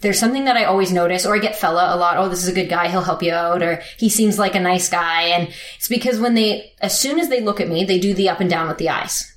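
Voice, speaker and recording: A woman's voice: on the low side, quick pace. A young woman telling a personal story in casual conversation, with lots of "like" fillers and frequent laughter. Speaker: interviewee. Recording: echoey room.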